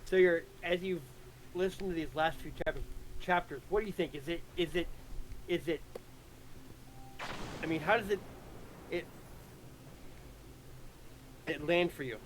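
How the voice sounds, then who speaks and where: A man talking casually about a game story, haltingly, repeating words as he thinks aloud. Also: fairly high pitch, slight background noise, poor audio. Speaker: host presenting his audio drama.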